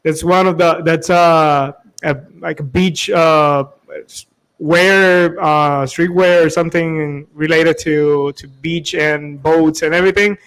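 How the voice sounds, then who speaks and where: A man's voice: fairly high pitch, fairly even intonation, slow, fairly bright tone, clear, resonant tone, poor audio. A man with as his hosting a casual interview, hesitant and searching for words. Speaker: interview host.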